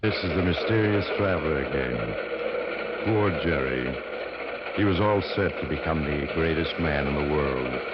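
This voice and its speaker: Eerie anthology host: bottomless bass, genially sinister, confiding, on muffled vintage radio. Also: male voice. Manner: wry, insinuating, confiding. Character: sinister, genial male traveler narrating eerie tales on train. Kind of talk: 1940s radio acting, storytelling. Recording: muffled, very noisy old radio recording. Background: loud train rumble.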